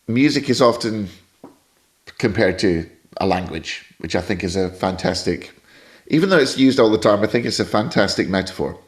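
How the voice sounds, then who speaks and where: A man from Scotland with a British accent, a guest jazz saxophone teacher giving thoughtful, explanatory answers in a podcast interview and wandering off on tangents. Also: fairly low pitch.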